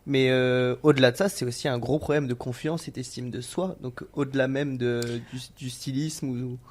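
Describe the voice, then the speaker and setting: A man hosting a casual podcast interview, gently putting his thoughts to his guest. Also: mid-range voice, fairly even intonation, normal speed. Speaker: podcast host.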